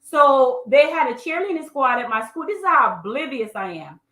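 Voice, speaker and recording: A woman's voice: high-pitched, animated, moderate pace, pushed and bright, echoey room. A woman with a Jamaican accent giving motivational online coaching, speaking colloquially and emphatically, repeating herself and addressing listeners directly. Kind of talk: motivational live training with personal stories.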